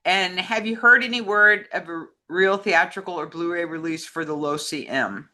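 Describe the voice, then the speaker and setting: A woman's voice: on the low side, normal speed, pushed and bright. An American woman chatting casually as co-host and reading out questions, with an enthusiastic manner.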